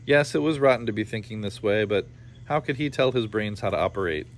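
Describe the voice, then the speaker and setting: A man's voice: fairly low pitch, animated, brisk. A man reading a children's story aloud in a playful style, with informal asides. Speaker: storybook reader. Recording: clear background noise behind the voice.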